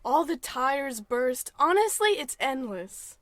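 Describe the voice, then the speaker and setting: A woman's voice: sits high, very animated, takes it slowly, fairly bright tone, dry recording, full character voice. A woman playing a devoted, sensible young suburban wife in a parody old-time radio drama, genteel and melodramatic. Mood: exasperated.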